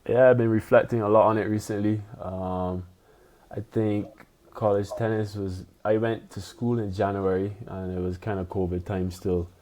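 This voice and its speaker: A man in his twenties from Barbados, a pro tennis player chatting as a podcast guest, relaxed and loose with lots of "you know". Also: on the low side, normal speed. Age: 20s. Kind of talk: casual interview chat.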